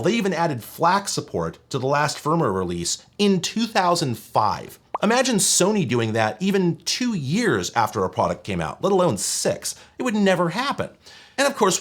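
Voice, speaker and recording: A man hosting a scripted tech history video essay, with wry, opinionated, emphatic phrasing. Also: fairly high pitch. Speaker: video essay host and narrator.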